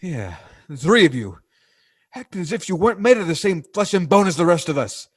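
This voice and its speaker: A plain-spoken North American working man from a 1940s radio drama, played by a male actor. He gropes for words in slangy, halting phrases, earnest and indignant about the rich. The sound is dry and close. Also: high-pitched, sing-song, slightly rough, no echo, full character voice. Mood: impassioned fury.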